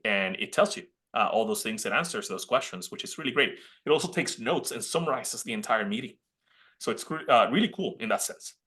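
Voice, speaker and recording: A bright-voiced man in his thirties with a Puerto Rican accent gives a casual webinar presentation. He talks in a chatty way, restarting sentences and filling gaps with "uh." He is heard through a computer mic on a video call. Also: lowish voice. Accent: Puerto Rican Spanish. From Puerto Rico. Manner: chatty, many restarts and "uh" fillers. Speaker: college dean presenting a webinar.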